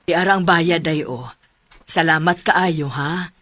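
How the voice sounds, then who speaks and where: A woman's voice: sits low, takes it slowly, loud music behind the voice. A woman with a Filipino Cebuano accent playing a genteel, gracious middle-aged aunt in a radio drama. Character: genteel, gracious aunt, a well-off massage client.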